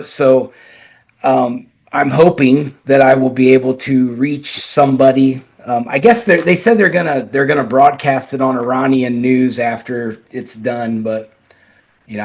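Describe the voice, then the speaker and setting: A man's voice: medium pitch, fairly even intonation, unhurried, a little mellow. A man sharing blunt political opinions in a casual chat, emphatic and often restarting his sentences. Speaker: guest commentator.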